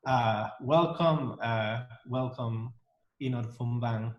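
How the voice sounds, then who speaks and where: A man's voice: medium pitch, slow, roomy sound, muffled recording. A man hosting an interview over a video call, speaking in a welcoming way with many fillers and restarts. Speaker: interview host. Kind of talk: casual interview.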